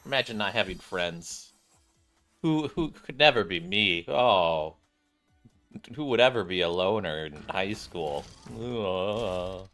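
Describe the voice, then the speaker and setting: A young man doing casual game commentary, reading on-screen text aloud and thinking out loud. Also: low-pitched, lively intonation, slow, faint music behind. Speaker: gaming streamer.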